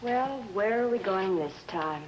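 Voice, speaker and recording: An actress playing a bitter, bored, sardonic wife with a cutting manner in 1950s television drama style. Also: female voice, medium pitch, lively intonation, a little slow, close to an everyday voice. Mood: discontented. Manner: cutting, dismissive. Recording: dull vintage soundtrack with faint noise.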